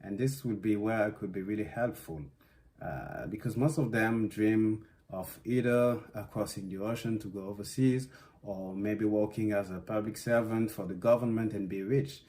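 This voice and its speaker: A young man from West Africa, a native Susu speaker, talking thoughtfully in a conversation about his home, with many pauses and fillers. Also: on the low side, warm tone. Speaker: interviewed artist. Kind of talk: reflective conversation.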